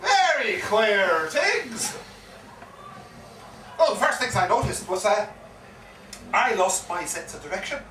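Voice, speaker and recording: An actor playing an excitable Irish pub yarn-spinner, theatrically repeating himself with relish in a live comic stage monologue. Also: male voice, high-pitched, takes it slowly, pauses often, exaggerated voice. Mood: rambling, confiding. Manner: theatrical, repeats phrases with relish and dramatic emphasis. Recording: echoey live pub recording, distant mic, faint noise.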